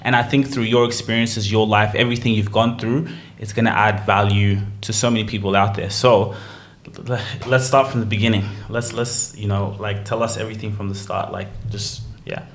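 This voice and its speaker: A man hosting a podcast interview, asking halting questions and encouraging his guest with frequent affirmations. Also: lowish voice, steady tone, a little hiss or hum. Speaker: podcast host. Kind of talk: casual interview.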